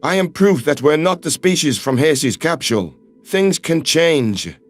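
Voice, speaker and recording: A man voicing a calm, earnest human man who speaks in firm, measured, reassuring tones. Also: on the high side, faint music behind, pitched below the performer's own voice. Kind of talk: audiobook character voice.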